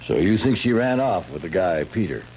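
An actor playing a calm, probing sheriff in a 1970s radio drama, folksy and matter-of-fact, on a muffled old recording. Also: male voice, deep voice, moderate pace. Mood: casual, probing.

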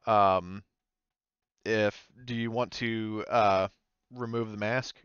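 A man's voice: lowish voice, fairly even intonation, slow pace, fairly bright tone, clear, resonant tone, no echo, lo-fi sound. A man working as game master, narrating and explaining in a hesitant, rambling way during a tabletop roleplaying game.

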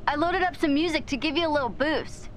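A woman's voice: high voice, fast, slight background noise, lightly acted, low-quality recording. An actress playing an upbeat, encouraging young woman, a hero's friend, in a video game. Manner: upbeat, supportive. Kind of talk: video game cutscene acting.

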